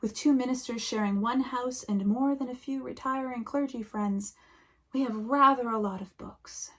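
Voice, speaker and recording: A woman minister giving a reflective sermon, speaking slowly and softly, often dropping to a near whisper. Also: on the high side.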